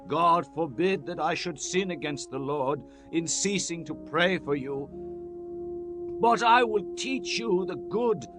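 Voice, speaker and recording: A man acts out a stern old prophet in a dramatized scripture reading. The voice is high and forceful, declaiming solemnly and slowly to his people. Soft music plays underneath. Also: lively intonation. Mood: earnest exhorting. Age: older. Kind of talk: dramatized King James Bible reading.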